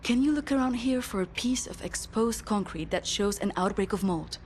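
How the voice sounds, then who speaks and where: An actress playing a composed resistance researcher in a game cutscene, speaking in a measured, inquisitive way. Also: female voice, mid-range voice, a little mellow, a little hiss or hum, lightly acted. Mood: focused.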